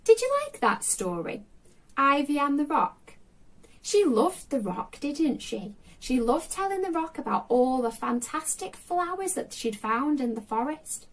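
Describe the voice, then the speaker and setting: A high-voiced woman presents a children's storytime in a very animated, swooping way. She talks straight to young listeners and puts questions to them. She sounds a little away from the mic. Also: a little slow, distant mic, poor audio.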